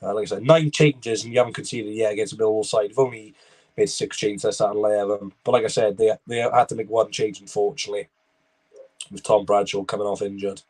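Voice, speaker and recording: A young man with a Welsh accent talks through a football match on a live stream. His commentary is casual and loose. He drifts between the action on the pitch and replies to his chat. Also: steady tone, a little fast, darkish tone, rough recording. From Wales. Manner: rambling, chatty, reads viewer comments aloud.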